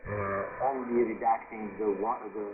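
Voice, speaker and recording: A man answering interview questions as a spokesman, halting and hesitant with frequent uhs. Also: on the low side, fairly even intonation, narrow, tinny audio. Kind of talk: live radio interview answers. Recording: noisy thin shortwave radio reception.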